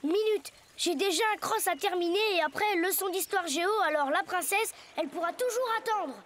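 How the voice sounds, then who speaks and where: A woman's voice: extremely high pitch, a little fast, slight background noise. A woman voicing a nine-year-old boy hero in a children's cartoon, grumbling but brave and plain-spoken.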